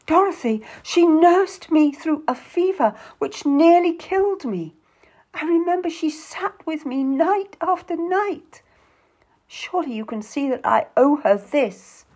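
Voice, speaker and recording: A woman's voice: a little high, big pitch swings, measured pace, voice pushed up. A woman voicing a melodramatic, tearful young noblewoman who implores and schemes in an audiobook story. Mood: pleading.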